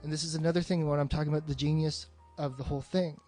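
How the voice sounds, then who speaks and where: A man's voice: fairly high pitch, fairly even intonation, rapid-fire, dark tone, faint music behind. A man hosting a podcast in a casual, rambling movie discussion, swearing freely and sounding enthusiastic. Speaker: podcast host.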